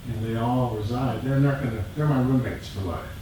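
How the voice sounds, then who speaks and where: A man speaking casually and reflectively as a group member, in a halting, plainspoken way, acting out his own self-talk. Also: mid-range voice, mellow. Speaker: group member sharing in a dharma discussion. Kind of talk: reflective casual personal sharing. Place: meditation group meeting room. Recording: echoey room, distant mic, clear noise.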